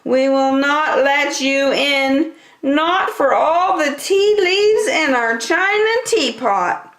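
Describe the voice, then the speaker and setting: A woman voicing timid but defiant little wolves in a squeaky, childlike, sing-song picture-book voice. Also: slow, fairly bright tone, reverberant. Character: three timid, defiant little wolf cubs speaking as one. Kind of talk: picture-book read-aloud.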